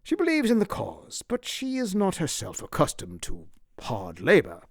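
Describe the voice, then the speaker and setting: A self-assured, smooth-talking gentleman, acted by a male narrator, speaks formally and placatingly with a very animated melody. There is creak in the voice, and the audiobook recording is dry and close. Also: sits high, normal speed, no room sound, voice pushed up, exaggerated voice. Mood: earnest. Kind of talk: audiobook character acting.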